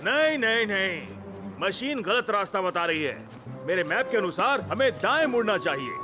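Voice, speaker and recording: A man voicing a stubborn but cheerful veteran cartoon bus driver, animated and fussy. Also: high voice, normal speed, over music. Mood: argumentative. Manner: animated, argumentative.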